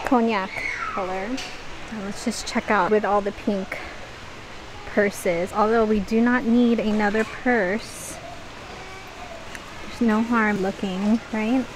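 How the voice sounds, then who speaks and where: A woman's voice: mid-range voice, steady tone, slow pace, pauses often. A woman doing a casual shopping vlog, chatting and thinking aloud as she browses, recorded out in noisy public stores. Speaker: shopping vlogger. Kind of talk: casual shop-with-me vlog chat. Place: inside busy stores and a mall. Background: shop and mall background noise.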